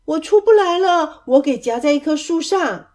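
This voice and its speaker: A woman voicing a mischievous young male elephant in a children's storybook, calling out in a shrill, plaintive way. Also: big pitch swings, moderate pace, a little mellow. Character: Wilbur, mischievous young male elephant ventriloquist crying for help. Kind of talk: animated children's storybook reading.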